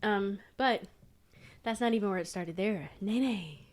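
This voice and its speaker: A woman's voice: medium pitch, measured pace. A woman co-hosting a podcast, telling folk tales in a casual, irreverent, sweary way. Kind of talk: casual chat and informal storytelling.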